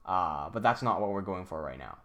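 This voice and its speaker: A man's voice: low voice, expressive, fairly quick, pushed and bright. A young man from Hong Kong hosting a casual livestream, thinking aloud and reading out chat messages, with frequent restarts.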